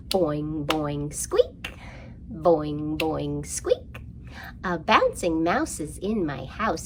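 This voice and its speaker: A woman presenting a children's storytime, speaking in an animated, sing-song way and prompting young listeners through rhymes and counting. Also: deep voice, gravelly, background noise.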